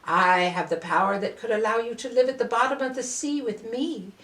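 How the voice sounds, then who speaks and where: A woman voicing a curious, tender sea dragon in mermaid form, speaking in a gentle, questioning way for a storybook. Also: on the high side, a little fast, recorded from a distance, close to an everyday voice. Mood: tender, earnest. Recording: roomy, echoing voice recording.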